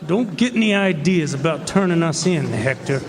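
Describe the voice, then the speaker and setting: A man's voice: a little high, animated, moderate pace, crowd noise. A man playing a sly cowboy outlaw and blackmailer in an old-time western radio drama. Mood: scheming, threatening. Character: Bogus, scheming blackmailing male cowboy outlaw and killer. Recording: echoey hall, live stage performance.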